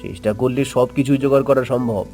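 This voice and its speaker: A man voicing a determined, encouraging young village son in an animated cartoon story, earnest and resolute. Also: mid-range voice, quick pace, faint music behind, natural voice.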